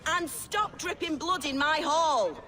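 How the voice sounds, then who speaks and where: A woman's voice: high voice, lively intonation, slow pace, a crowd in the background. A woman playing a bossy, irritated tavern keeper in a video game, scolding and commanding. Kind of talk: video game cutscene acting.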